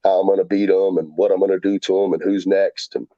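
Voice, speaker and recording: A man guesting on a podcast, talking fast and rambling excitedly, swearing freely and acting out what others said. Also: low voice, dry recording, lo-fi sound. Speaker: guest fighter. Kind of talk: casual podcast chat.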